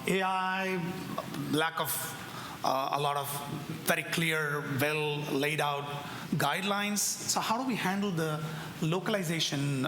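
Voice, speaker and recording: Halting male moderator in echoey ballroom. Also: a little high. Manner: halting, many fillers and restarts, posing questions. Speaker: panel moderator. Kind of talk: conference panel moderation. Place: large hotel ballroom. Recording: echoey ballroom with clear background noise.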